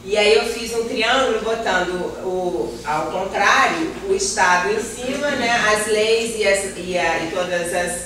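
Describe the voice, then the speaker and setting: A woman's voice: mid-range voice, unhurried, hardly any pauses, a bit bright. A middle-aged woman with a Brazilian accent gives an informal lecture to a class in a hesitant, self-deprecating way, repeating words as she searches for the next one. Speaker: guest lecturer, environmental civil servant. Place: classroom with projector, indoors. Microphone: far camera. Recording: roomy, noisy classroom recorded from far off.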